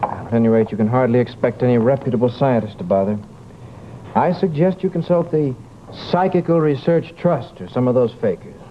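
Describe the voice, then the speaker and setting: An actor playing a fussy, sceptical scientist in old-time radio drama, speaking in a pompous, formal, curt manner. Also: male voice, medium pitch, brisk, close to an everyday voice. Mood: dismissive. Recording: muffled, noisy old radio recording.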